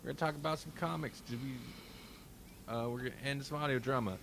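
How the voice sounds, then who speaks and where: A man's voice: mid-range voice, a little fast, vocal fry, fairly bright tone, slight background noise. A man hosting a casual live-stream podcast, rambling along in a joking, self-deprecating way. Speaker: podcast host.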